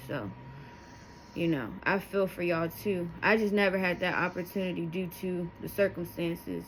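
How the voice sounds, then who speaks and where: A woman's voice: fairly low pitch, moderate pace, slight background noise, rough recording. A woman of thirty telling her life story to her viewers, candid and emotional, rambling with asides as she goes. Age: 30s. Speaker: vlogger. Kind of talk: personal testimony to camera.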